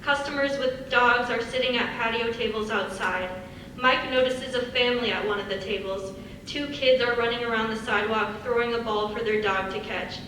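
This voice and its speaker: A woman's voice: a little high, fairly even intonation, normal speed, sharp tone. A woman reading stage directions as scripted narration. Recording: echoey hall, far from mic, faint noise.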